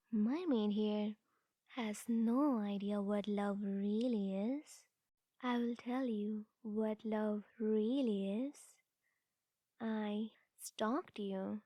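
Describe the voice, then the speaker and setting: A woman playing a possessive, scheming yandere sister in a comedic ASMR audio roleplay, breathy and often near a whisper, with a haughty, condescending sweetness. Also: medium pitch, mellow, no echo. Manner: haughty, deliberate, cooing to the listener.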